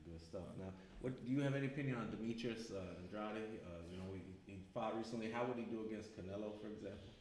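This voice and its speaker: A man with a slightly low voice hosts a casual boxing chat, easygoing and full of "uh"s as he sets up questions. His studio mic picks up a roomy echo with a faint hiss behind him. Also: fast talker, few pauses, darkish tone. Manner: laid-back, asks questions with filler words. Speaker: podcast host. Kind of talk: casual boxing talk show. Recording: roomy sound with faint background noise.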